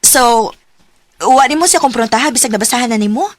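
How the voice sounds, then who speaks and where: A woman's voice: a little high, a little fast, faint music behind, lightly acted. A woman playing a blunt best friend who presses a man with questions in a radio drama. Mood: pressing.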